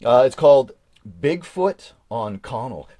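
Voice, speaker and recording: A man's voice: medium pitch, expressive, slow, dark tone, no room sound. A man in his forties chatting casually on a live stream, reading from an old journal and rambling with frequent restarts. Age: 40s.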